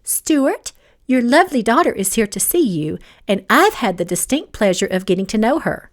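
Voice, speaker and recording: A woman's voice: fairly high pitch, sing-song, moderate pace, voice pushed up. A woman voicing a guarded young female secretary in an audiobook, politely feigning innocence. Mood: fake-sweet. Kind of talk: audiobook character dialogue.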